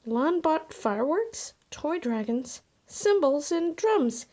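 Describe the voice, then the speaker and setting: Woman storybook reader, high voice, scripted narration for children. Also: big pitch swings, slow pace, darkish tone.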